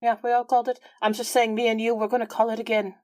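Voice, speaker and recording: A woman's voice: fairly high pitch, little pitch movement, quick pace, a little mellow, no room sound, studio quality, natural voice. A woman playing a teasing, smugly certain friend with a matter-of-fact, knowing manner in a tabletop game.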